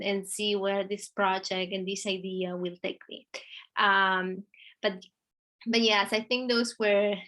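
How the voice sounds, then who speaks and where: A woman from Argentina with an Argentinian Spanish accent, an artist in a virtual conversation, talking slowly and haltingly with many restarts. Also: medium pitch, fairly bright tone, poor audio. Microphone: video call.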